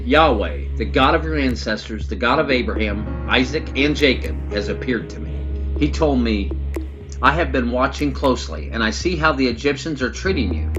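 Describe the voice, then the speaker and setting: A man's voice: mid-range voice, close to an everyday voice. Man voicing solemn commanding God over music. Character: commanding male God speaking from a burning bush. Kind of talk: scripted scripture reading. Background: prominent background music.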